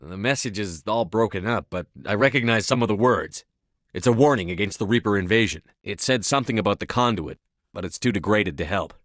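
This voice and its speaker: A man's voice: on the low side, brisk, fairly bright tone, no room sound, natural voice. A man playing a resolute, authoritative military commander in a video game, speaking in a firm, terse way. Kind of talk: video game voice acting, scripted dialogue lines.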